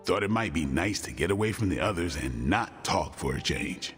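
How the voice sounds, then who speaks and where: A man playing a gruff, guarded, bookish vampire-hunter warrior with dry wit, in video game cutscene dialogue. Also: deep voice, lively intonation, moderate pace, a bit bright. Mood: calm, instructive. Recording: long reverb, music underneath.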